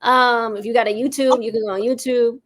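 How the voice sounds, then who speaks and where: A woman's voice: on the high side, fairly even intonation, brisk. A woman, a guest on a show, giving casual promotional talk. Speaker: audio drama creator, show guest.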